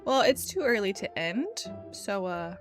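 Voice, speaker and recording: A woman livestreamer chatting casually with her viewers in a playful, giggly, expressive way. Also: mid-range voice, measured pace, over music. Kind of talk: casual chat with stream viewers.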